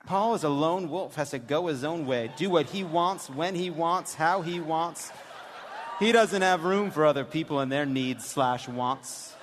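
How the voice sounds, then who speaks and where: A candid, jaded daughter, read out by a high-voiced man, airs her scorn in dry, deliberate phrases. It is a comic stage reading. The big hall adds long reverb and a little noise. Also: close to an everyday voice. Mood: dry, knowing. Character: politician's adult daughter texting, candid, jaded, dryly scornful. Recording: reverberant theater, some noise.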